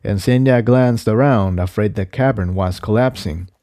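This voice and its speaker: A man's voice: on the low side, moderate pace, mellow, no echo, studio quality. A man narrating an adventure story from a script. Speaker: fan fiction reader, narrator.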